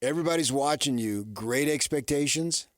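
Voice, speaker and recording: A man's voice: medium pitch, normal speed, no echo, clean studio sound. A man hosting a sports radio show, talking casually in a folksy, conversational way and often tossing out rhetorical questions.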